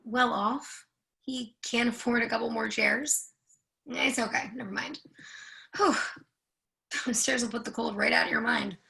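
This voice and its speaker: A woman's voice: a little high, very animated, unhurried, gravelly, long pauses, pushed and bright, exaggerated voice. A woman playing a blunt, wry character with a folksy way of talking in a staged play reading. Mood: wry, needling. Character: blunt, breathless Irish young woman, earthy and teasing.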